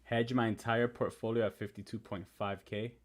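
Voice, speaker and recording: A young man with a somewhat low voice hosts a casual live stream, his first language Spanish. He chats loosely, greets viewers by name and talks through price charts as he goes. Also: little pitch movement, normal speed. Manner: chatty, reads and answers viewer comments.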